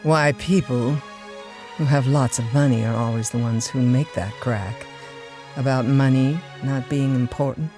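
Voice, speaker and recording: Coy discontented wife, very unhurried delivery, money-hungry, audio drama, light music behind. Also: female voice, a bass voice. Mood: wistful, wanting.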